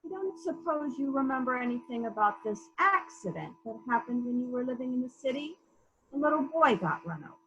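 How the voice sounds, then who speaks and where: A woman playing a patient, probing investigator in a murder mystery reading, courteously and gently asking questions. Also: high-pitched, lively intonation, a little fast, dull audio, slight background noise. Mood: gentle, probing.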